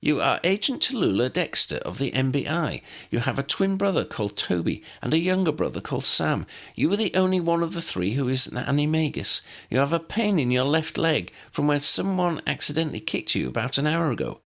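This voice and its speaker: Man voicing an uncanny young woman, pitched high. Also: sing-song, brisk, vocal fry, hardly any pauses, a bit bright, full character voice. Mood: insistent, sure. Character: young woman with sudden uncanny knowledge, calm but powerful.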